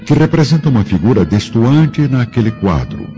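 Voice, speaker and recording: A man's voice: mid-range voice, big pitch swings, normal speed, mellow. A man with a Brazilian accent giving solemn, grandiloquent scripted narration for a radio drama. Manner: grandiloquent, theatrical. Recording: muffled radio broadcast with music. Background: clear background music.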